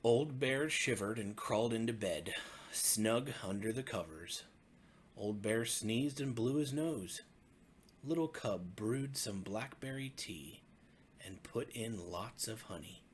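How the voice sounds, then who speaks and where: A man, a pastor, narrating a bedtime story for children in a gentle, expressive way with deliberate phrasing. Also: fairly low pitch, slight background noise.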